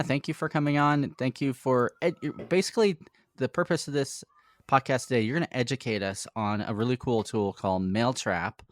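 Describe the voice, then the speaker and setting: A man hosting a software development podcast, asking his guest questions in an upbeat, friendly way. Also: medium pitch, dry recording, clean studio sound. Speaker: podcast host. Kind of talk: podcast interview.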